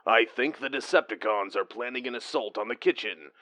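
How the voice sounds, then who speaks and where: A man's voice: mid-range voice, brisk. Man playing mock-heroic dad over crackly walkie-talkie. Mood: playful mock-heroic. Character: playful, loving dad doing heroic robot leader voice.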